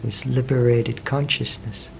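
Soft-spoken man guiding a meditation. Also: medium pitch, steady tone, creaky, poor audio. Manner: slow, soft, gentle questioning with long pauses. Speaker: meditation teacher. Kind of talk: guided meditation. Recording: faint background noise with meditation music.

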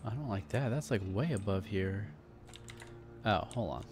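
Slow-paced, low-voiced man gaming. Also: a little hiss or hum. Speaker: gaming channel host. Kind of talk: casual let's play commentary. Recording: loud game music behind voice.

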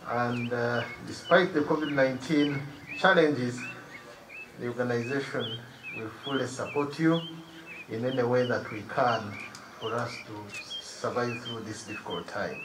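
A middle-aged man delivers a formal prepared speech at a slow, deliberate pace. His voice rings with outdoor reflections, and faint birdsong sits behind it. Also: medium pitch, steady tone, echoey room, noisy background. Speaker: guest of honour giving a speech. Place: outdoors on a lawn by trees. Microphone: stand mic at a distance, under an umbrella.

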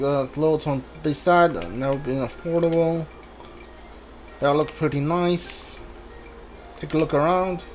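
A video game streamer giving casual commentary and reading on-screen dialogue aloud, muttering informal asides. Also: mid-range voice. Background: video game ambience.

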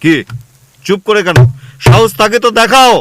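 A man's voice: high voice, moderate pace, music in the background, lightly acted. A man playing a jealous, sarcastic husband quarrelling with his wife in a radio play. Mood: quarrelsome. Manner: quarrelsome, sarcastic, stammering when agitated. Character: jealous young husband, hot-tempered and sarcastic.

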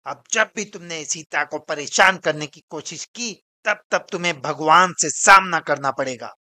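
A man plays a magic carrot in a cartoon fairy tale. The voice is bright and forceful, with a stern, preachy, protective manner. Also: fairly high pitch, normal speed. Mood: stern warning.

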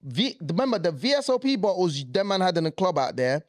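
A young man chatting on a podcast, his voice sitting a bit high for a man. He talks with animated energy, waving his hands as he makes his points. The sound is close and dry, from a small studio set. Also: a little fast, no room sound. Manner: animated, gestures a lot while talking. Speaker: podcast co-host. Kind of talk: casual chat. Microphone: desk mic on boom arm.

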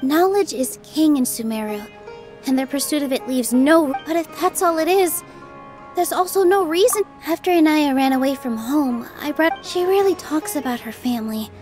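A woman playing a gentle, encouraging young female dancer with a sweet, girlish voice in a video game. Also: high voice, moderate pace, close to an everyday voice. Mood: worried. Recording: music behind the voice.

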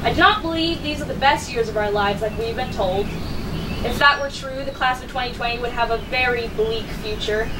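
A teenage girl with a high voice gives an earnest, measured graduation speech from a podium. She sounds set back from the microphone, with a clear hum of background noise in the room. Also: recorded from a distance. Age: teens. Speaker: salutatorian giving graduation speech.

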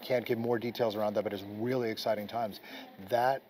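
Man, middle-aged, executive guest, low voice, quick, restarts phrases, lapel mic, conference room. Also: fairly even intonation, a little mellow, slight background noise. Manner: fast, restarts phrases, business jargon. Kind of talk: conference interview. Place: conference room with a curtain backdrop.

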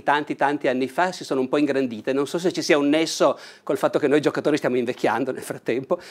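An older man presenting a board war game in a bright indoor room, talking with enthusiasm, leaning over the table and gesturing as he explains. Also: a little high. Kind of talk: enthusiastic unboxing talk.

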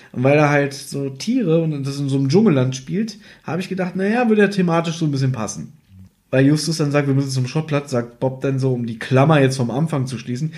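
A man's voice: a little high, a little mellow. A male podcast host casually talking through and retelling an audio drama episode.

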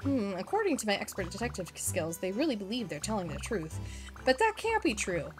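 A woman's voice: medium pitch, big pitch swings, brisk, over music. A young woman casually streaming a game and reading its dialogue aloud, while she is losing her voice from illness. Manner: chatty, reads the hero's lines playfully.

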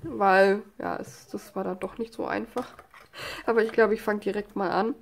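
A woman's voice: medium pitch, fairly even intonation, normal speed, vocal fry. A woman with a stuffy nose from a cold, chatting casually through a crafting tutorial at her desk, rambling with many fillers and asides. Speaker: craft channel host. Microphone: overhead camera filming hands on desk.

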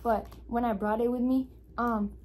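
A teenage girl vlogging to her phone camera, excitable and giggly, her voice a little hoarse from losing it. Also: a little high, normal speed. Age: teens. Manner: excitable, giggly, talks straight to the viewers. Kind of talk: casual vlog chat to a handheld phone camera. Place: outdoors in a city, then at home. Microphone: phone camera held at arm's length. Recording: noisy phone audio with crowd in background.

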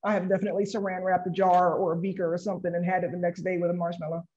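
A woman's voice: fairly low pitch, flat delivery, rapid-fire, mellow, a little hiss or hum. A young woman co-hosting a live cooking demonstration in a large kitchen, explaining tips casually with joking asides, picked up by a distant camera. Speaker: cooking co-host. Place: large home-style kitchen, indoors. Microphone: far camera. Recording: dull lo-fi livestream audio.

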